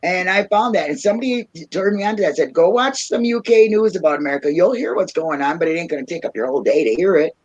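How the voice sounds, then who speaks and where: A woman's voice: lowish voice, animated, quick pace, hardly any pauses, darkish tone, lo-fi sound. A woman from Minnesota with a Minnesotan accent, chatting casually as a livestream guest and laughing often. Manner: laughs often, jokey and folksy. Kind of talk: casual chat.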